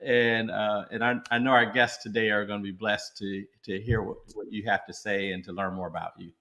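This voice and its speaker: A man's voice: fairly low pitch, little pitch movement, fairly quick, bright, forceful tone. A man co-hosting an online show, welcoming the guest while stumbling over words, on a video call. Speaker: co-host.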